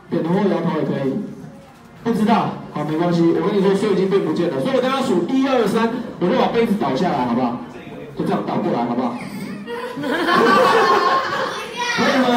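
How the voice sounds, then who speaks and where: A young man performing a magic show for children, coaxing and playful, counting out loud with exaggerated energy. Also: sits high, recorded from a distance. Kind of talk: live magic show for kids. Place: small party room at a cafe, indoors. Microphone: far camera, no mic. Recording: echoey room, recorded from afar. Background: loud music and crowd of kids.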